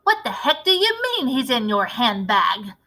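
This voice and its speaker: A woman's voice: a little high, big pitch swings, measured pace, pushed and bright, exaggerated voice. A woman voicing a very large, coarse, impatient man in a storybook, brusque and pompous in manner. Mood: irritated. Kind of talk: storybook read-aloud character voice.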